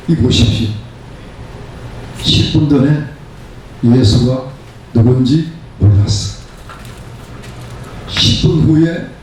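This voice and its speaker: Man in his seventies, pastor, emphatic sermon delivery, repeated phrases, mellow tone, heavily reverberant room. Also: medium pitch. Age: 70s. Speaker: pastor preaching. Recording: very echoey room, distant mic, faint noise.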